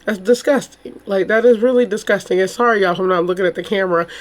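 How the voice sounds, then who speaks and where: A woman with a slightly hoarse voice, chatting casually and informally to camera as a host, rambling and exclaiming as she comments. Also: mid-range voice, steady tone, fast. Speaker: YouTube true crime host.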